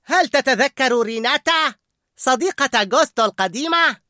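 An eager, cheerful male cook from a storybook, as a woman puts him on. The voice is high and bright, recorded close and dry. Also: animated, moderate pace, dry recording. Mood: enthusiastic. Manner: enthusiastic. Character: enthusiastic male kitchen cook.

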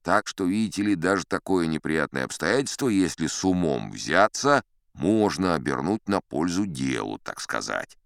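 Old sea captain, theatrical raconteur, audiobook tall tales, smooth resonant tone, close dry sound. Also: male voice, deep voice, a bit bright, no echo, exaggerated voice. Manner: theatrical, leisurely, old-fashioned conversational raconteur. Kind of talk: dramatized audiobook storytelling.

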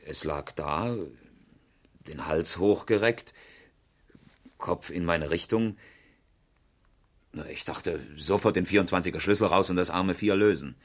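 A man's voice: low voice, measured pace, pauses often. A man acting in a 1970s German radio crime drama as a colloquial, scoffing amateur rally driver. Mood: matter-of-fact recounting. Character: breezy, indignant male amateur rally driver and engineer.

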